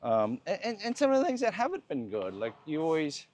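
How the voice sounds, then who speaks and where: A man in his fifties hosting a walking conversation outdoors, thoughtful and quick to laugh. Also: on the high side, huge pitch swings, darkish tone. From United States. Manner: thoughtful, laughs easily, restarts phrases. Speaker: host. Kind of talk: casual conversation while walking. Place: outdoors on a walk.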